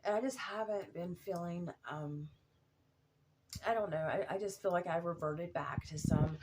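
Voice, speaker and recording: A woman in her thirties with a cold and a sore throat, talking haltingly in a personal video diary, full of fillers and restarts. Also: fairly low pitch, noisy background. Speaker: vlogger.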